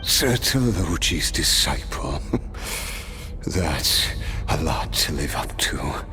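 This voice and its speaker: An actor playing a brooding, battle-worn immortal warrior in a video game, speaking in a measured, reflective and remorseful way. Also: male voice, extremely low pitch, lively intonation, music in the background, full character voice. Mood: reflective, impressed. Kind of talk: dramatic video game voice acting.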